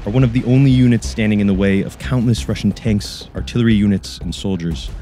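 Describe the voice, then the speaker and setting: A man reporting from the front line as a war correspondent, grave and measured. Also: lowish voice, mellow, slight background noise. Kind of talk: news narration and field reporting.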